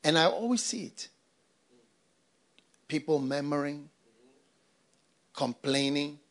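An older pastor from Ghana delivers a sermon, his fairly high voice swinging widely up and down. He leans on repeated phrases and asks the congregation questions. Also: lively intonation, slow, a bit bright. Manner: preaching with repetition and rhetorical questions.

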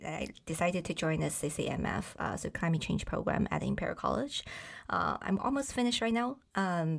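A woman's voice: fairly low pitch, creaky. A young woman from mainland China whose first language is Bai, answering interview questions in English with many fillers and restarts. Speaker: guest, startup founding member. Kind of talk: casual interview talk.

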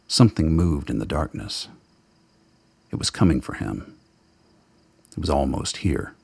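A man with a gravelly, husky voice giving scripted dramatic narration for a radio play. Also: very deep voice, lively intonation, unhurried, a little mellow, no room sound.

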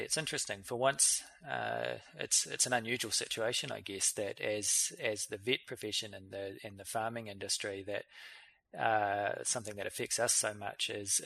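A man's voice: fairly low pitch, vocal fry, bright, forceful tone, dry recording. A man hosting a vet podcast, chatting casually with many fillers and restarts. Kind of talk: casual interview chat.

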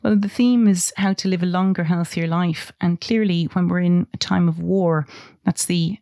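A podcast host on a health show, asking questions of a guest in a measured, conversational way. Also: medium pitch, fairly even intonation, warm tone, dry recording, clean studio sound. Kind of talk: interviewing a guest.

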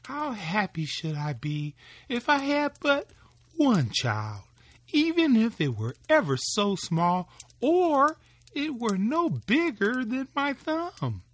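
A man voicing a gentle, kind poor woodman's wife who longs for a child, in a tender manner. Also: very high voice, huge pitch swings, slow, no echo, voice pushed up, heavily acted. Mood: wistful.

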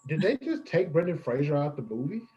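Male podcast panelist, animated casual storyteller, very lively intonation. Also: a little high, fast talker, muffled recording, a loud crowd. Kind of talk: casual chat.

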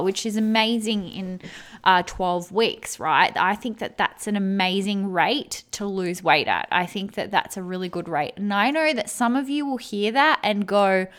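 A woman's voice: medium pitch, a bit bright. A woman hosting a podcast, a little sick with a sore throat, talking casually and upbeat with many ums. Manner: chatty, upbeat, frequent ums. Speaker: podcast host and weight loss coach.

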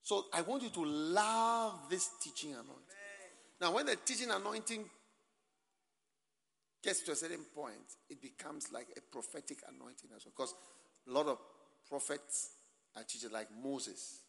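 A man with a Ghanaian English accent preaching a sermon at a church camp, swinging from soft asides to shouting and calling out to the crowd for answers. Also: on the high side, animated, moderate pace, creaky, long pauses. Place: large hall. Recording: long reverb of a large hall.

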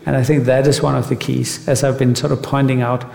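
A man from Denmark, a guest on stage, telling a rambling, animated anecdote with many restarts. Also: medium pitch, brisk, creaky, slight background noise. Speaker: guest artist. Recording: echoey hall.

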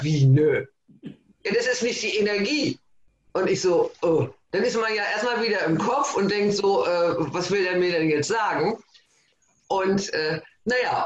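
Guest: bubbly, overexcited man, high voice, echoey room with a dull, muffled sound. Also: a little hiss or hum. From East Frisia, Germany. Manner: excited, bubbly, self-described as overexcited. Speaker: guest, course facilitator. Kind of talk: casual podcast interview.

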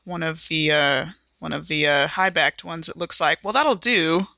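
A woman's voice: sits low, normal speed, vocal fry, noisy background. A woman playing a tabletop game, chatting casually and role-playing her character. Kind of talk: casual chat and role-play.

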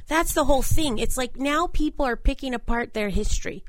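A woman with a high voice who speaks frankly, agrees readily and sometimes swears to make a point. She is recorded close up on a dry, closely miked podcast mic, with no room sound. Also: lively intonation, brisk, no echo. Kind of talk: casual political chat.

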